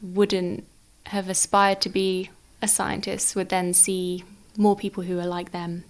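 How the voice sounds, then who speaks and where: Flat-toned woman with some vocal fry. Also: on the low side, measured pace. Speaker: interviewer. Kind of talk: casual conversation.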